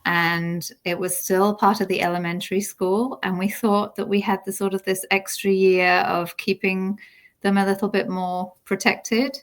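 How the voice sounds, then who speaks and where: A woman's voice: mid-range voice, flat delivery, moderate pace. A middle-aged woman with a British accent, telling her own story conversationally and reflectively as a podcast guest. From United Kingdom.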